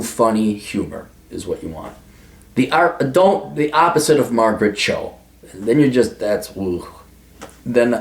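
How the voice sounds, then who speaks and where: Blunt, animated man in a roomy room. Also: medium pitch. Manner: crude, emphatic, swears freely. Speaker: advice vlogger answering a listener letter. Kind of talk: blunt, profane advice monologue. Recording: roomy echo.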